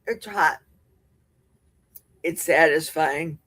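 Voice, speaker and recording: A woman with a husky, slightly low voice hosts a relaxed livestream from her basement, chatting and joking with her viewers. She talks at an unhurried pace into a close desk mic, and the sound is dry and close. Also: dry recording. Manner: chatty, jokey, reads viewer comments aloud and answers them. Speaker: livestream host. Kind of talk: casual livestream chat. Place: home basement. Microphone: desk mic with a cough button.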